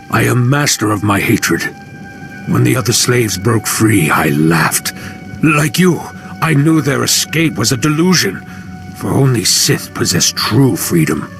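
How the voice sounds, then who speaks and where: A man's voice: medium pitch, animated, a little slow, gravelly, fairly bright tone, soft background music. A man voicing a fanatical, violent slave cult leader in a video game, with reverent, grandiose declamation. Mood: fervent, reverent. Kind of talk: dramatic video game acting.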